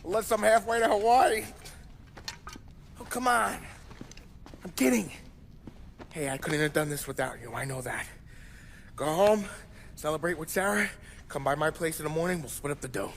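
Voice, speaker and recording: An actor playing a cocky, hot-headed gangster in a video game, brash and excitable. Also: male voice, fairly high pitch, normal speed, pauses often, slight background noise, close to an everyday voice. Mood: teasing, friendly. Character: cocky, hot-headed male gangster robber, giddy and crude. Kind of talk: cinematic video game acting.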